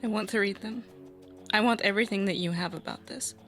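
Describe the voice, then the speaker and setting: A woman playing a withdrawn, guilt-ridden woman mercenary in an improvised tabletop game, speaking haltingly. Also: mid-range voice, a bit bright, faint music behind, natural voice. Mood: shaken. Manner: halting, subdued.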